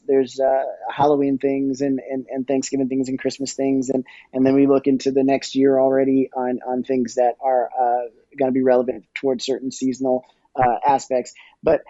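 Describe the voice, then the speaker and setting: A man giving conversational interview answers as a podcast guest, discursive and halting as he thinks aloud. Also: mid-range voice, flat delivery, dark tone, dry recording, muffled recording. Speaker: podcast guest, audio storytelling company executive.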